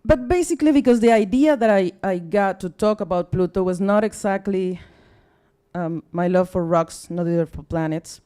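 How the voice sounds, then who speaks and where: Young Mexican woman, Spanish accent, English second language; tired, chatty, apologetic, mellow voice. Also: medium pitch, normal speed, smooth. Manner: chatty and apologetic, with many fillers and restarts. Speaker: guest speaker at a science talk night. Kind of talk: casual, self-deprecating live talk. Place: bar or event room with a live audience.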